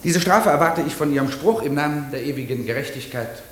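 A man's voice: on the high side, lively intonation, a little fast, natural voice. A man playing a stern, indignant prosecutor in a postwar radio play, declaiming emphatically in court. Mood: indignant, solemn. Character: stern prosecutor demanding death, indignant and merciless. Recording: echoey, faintly noisy old radio recording.

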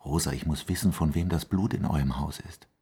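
A man's voice: brisk, dark tone, heavily acted. An actor playing a grave, insistent policeman in a radio drama, questioning with restraint. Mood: pressing, concerned. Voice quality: very deep, husky. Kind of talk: naturalistic German radio drama dialogue.

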